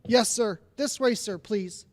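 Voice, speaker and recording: Meek, kindly office clerk. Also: male voice, sits high, unhurried. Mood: dutiful, absorbed. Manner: timid, deferential. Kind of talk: old-time radio drama acting.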